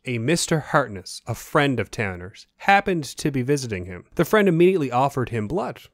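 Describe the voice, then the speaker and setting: A man's voice: medium pitch, sing-song, a little fast, no room sound, clean studio sound. A man playing a matter-of-fact hospital lab colleague reporting his findings in a radio mystery. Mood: informative. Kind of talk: remake of classic radio mystery acting.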